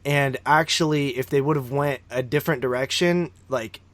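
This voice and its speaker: A male podcast co-host with a mid-range voice talks casually through his notes. His delivery is informal and hesitant, broken by frequent pauses as he finds his way. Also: medium pitch, fairly bright tone, no room sound. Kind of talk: casual movie discussion, recounting notes.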